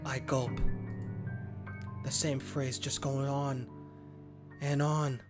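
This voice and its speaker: A man hosting a gaming video, reading a horror visual novel aloud in a theatrical, expressive way with casual commentary. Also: medium pitch, drawn-out words. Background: game music.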